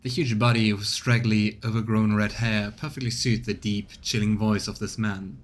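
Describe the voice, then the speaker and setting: A man's voice: on the low side, flat delivery, normal speed. A man streaming a game, reading its text aloud as narration and adding casual comments of his own. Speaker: streamer, let's player.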